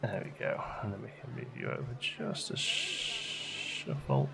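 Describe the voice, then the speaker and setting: A man's voice: fairly low pitch, huge pitch swings, a little slow, vocal fry, noisy background. A man giving casual gameplay commentary, chatting and musing aloud as he questions his own choices, as a let's play host.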